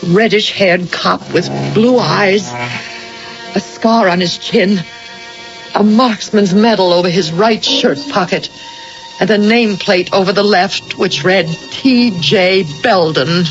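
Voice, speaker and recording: An actress playing an anxious, insistent wife haunted by foreboding, in an earnest, emphatic 1980 radio drama style. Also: female voice, mid-range voice, takes it slowly, music in the background. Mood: haunted narration. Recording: dull lo-fi old radio recording.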